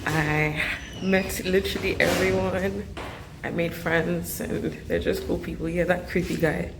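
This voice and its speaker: A woman's voice: fairly low pitch, fairly even intonation, noisy background. A young Nigerian woman in her twenties, chatting casually to a handheld camera as she walks through a hotel. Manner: chatty, laughs easily, slow and unhurried. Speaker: vlogger. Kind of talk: casual vlog chat to camera. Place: hotel corridors and grounds.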